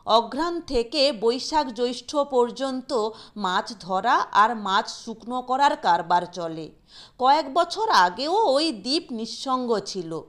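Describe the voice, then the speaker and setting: A woman narrating a literary short story in a deliberate, expressive storytelling manner. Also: fairly high pitch.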